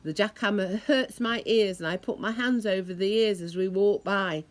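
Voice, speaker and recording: A woman reading a children's story aloud in a deliberate, expressive way, imitating the sounds it describes. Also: mid-range voice. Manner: deliberate, acts out noises expressively.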